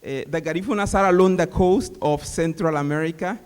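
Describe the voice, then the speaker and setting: A man's voice: on the high side, brisk, darkish tone, clear, resonant tone. A man from Honduras, giving a hesitant panel talk as a city official, with many fillers. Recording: reverberant hall.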